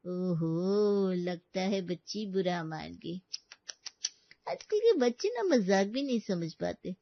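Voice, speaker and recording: A woman's voice: lowish voice, expressive, slow, dull audio. A woman voicing a nosy middle-aged neighborhood aunty in a cartoon story, gossipy, teasing and prying. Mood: mocking.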